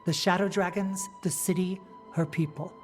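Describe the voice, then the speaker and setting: An encouraging fantasy game hero, acted by a man, with a fairly high male voice. The delivery is earnest and supportive, with faint music in the background. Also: steady tone, normal speed, close to an everyday voice. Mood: reassuring. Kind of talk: video game cutscene acting.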